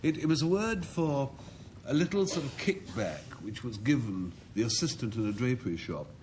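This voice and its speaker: A man's voice: mid-range voice, lively intonation, normal speed, darkish tone, slight background noise. A man captaining a team on a panel word game, drily joking and playful. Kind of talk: comic bluffing banter.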